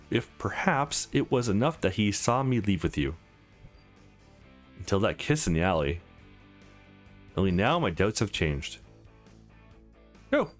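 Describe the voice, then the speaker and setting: A man's voice: on the low side, heavily acted. A man voicing a flirtatious, conflicted married woman in a visual novel, frank, teasing and confessional.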